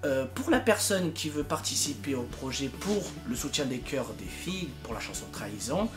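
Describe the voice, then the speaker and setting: A man's voice: mid-range voice, sing-song, moderate pace, music in the background. A young man giving a casual, informal announcement as a project leader, exasperated and emphatic with comic exaggeration. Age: young adult. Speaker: fandub project leader and video maker.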